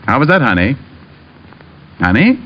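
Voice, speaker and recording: A man's voice: mid-range voice, very animated, unhurried, fairly bright tone. A man playing a smug, playful private detective in an old-time radio drama. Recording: muffled vintage radio, faint noise.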